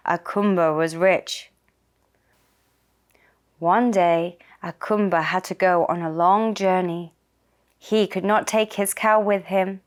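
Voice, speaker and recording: Lady narrating a kids puppet show story, really slow and deliberate, normal pitch. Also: clear, resonant tone.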